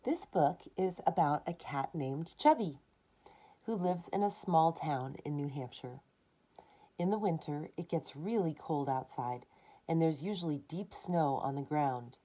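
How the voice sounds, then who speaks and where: Dark-voiced woman reading a children's story. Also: sits low, unhurried, rough recording. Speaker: storyteller reading a picture book aloud. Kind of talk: expressive children's story reading with short asides.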